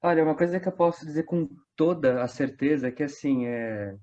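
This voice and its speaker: Man, 20s, São Paulo state accent; higher-pitched, chatty storyteller for casual interview, lo-fi sound. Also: fairly quick, mellow. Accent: Brazilian, São Paulo state. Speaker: theatre company actor, interview guest.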